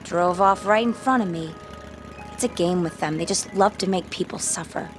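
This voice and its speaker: A woman's voice: lowish voice, moderate pace, natural voice. A young woman playing a frank, curious schoolgirl in a radio drama, casual and wry in manner. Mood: annoyed. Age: young adult. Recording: music bed and vehicle sounds behind.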